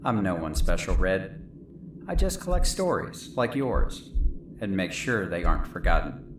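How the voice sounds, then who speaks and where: A man playing a gentle, mysterious collector of stories, speaking in a soothing, measured and sympathetic way in an audio drama. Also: deep voice, sing-song. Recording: roomy echo, clear background noise.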